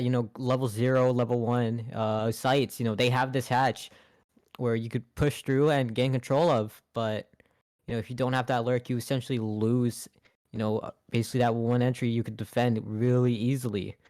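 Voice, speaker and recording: A man's voice: mid-range voice, level tone, normal speed, darkish tone. A man co-casting an esports match live as his voice wears out, rambling and filling gaps with "you know". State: losing his voice. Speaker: esports co-caster.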